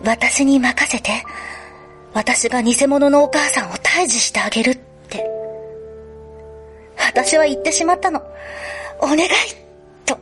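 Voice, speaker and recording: A troubled schoolgirl acted by a woman in a radio drama, with a high, ladylike voice. She speaks in an emotional, confessional way over clearly audible music. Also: measured pace, long pauses. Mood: pained confession. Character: troubled, polite schoolgirl fearing her violent second self. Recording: music behind the voice.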